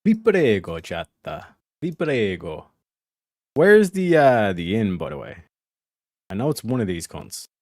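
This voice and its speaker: A man chatting to his viewers as he plays an online game, crude and jokey, often breaking into bits of song. He talks slowly and evenly. The sound is dry and close, with no room in it. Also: on the low side, big pitch swings, a little mellow, no echo. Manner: profane, joking, talks to chat while playing. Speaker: streamer. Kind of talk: casual gaming livestream chat.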